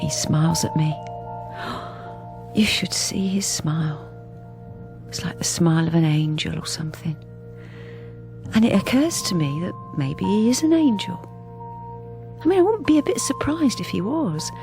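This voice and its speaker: A woman acting in a radio drama as a middle-aged English wife, wistful and quietly defiant, halting and often dropping to a whisper. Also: fairly low pitch, animated, gravelly, over music. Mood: dreamy, elated. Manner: halting, repeats phrases, often drops to a whisper. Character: weary long-married wife newly wistful and quietly defiant. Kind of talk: radio drama acting, intimate dialogue and narration.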